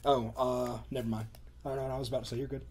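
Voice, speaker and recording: A man with a gravelly voice plays a card game against a friend. He talks through his moves calmly, with a dry edge. Faint background noise runs under his voice. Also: mid-range voice, rapid-fire. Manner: calm, dry, announces plays methodically. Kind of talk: casual banter during tabletop card game.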